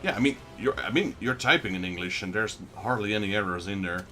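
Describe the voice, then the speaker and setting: A man's voice: on the low side, fast talker, bright, forceful tone, soft background music. A man with a Dutch accent, a native Dutch speaker, giving casual let's play commentary and chatting with his viewers. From Netherlands. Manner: chatty, talks back to viewer chat.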